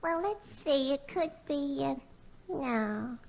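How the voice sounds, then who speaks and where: A woman's voice: high-pitched, lively intonation, slow pace, gravelly, exaggerated voice. A woman playing a dim, sweetly earnest office secretary with a hesitant, wandering manner in an old radio comedy. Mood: puzzled. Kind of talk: 1940s-50s radio comedy acting. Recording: old radio recording, light hiss.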